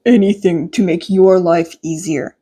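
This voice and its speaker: Lady putting on a grown man, caring but distant husband, husky and mellow, slow, storybook dialogue. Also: on the low side, steady tone, voice pushed down. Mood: supportive. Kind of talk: audiobook character voice.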